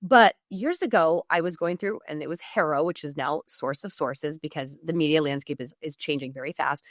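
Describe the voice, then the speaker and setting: American woman, lowish voice, dry close sound. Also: a little fast, no room sound. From Oregon, United States. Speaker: podcast guest, PR strategist and business coach. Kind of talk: conversational interview, informal coaching.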